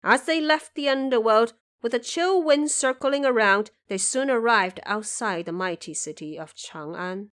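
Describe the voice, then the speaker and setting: A woman's voice: on the high side, measured pace, few pauses, a bit bright. A woman giving scripted storytelling narration for an audio drama.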